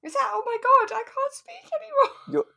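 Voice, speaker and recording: A British woman co-hosting a relaxed chat podcast, giggly and self-mocking as she tells things in an animated way. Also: very high voice, moderate pace, creaky. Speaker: podcast co-host. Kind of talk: relaxed chat about a radio drama.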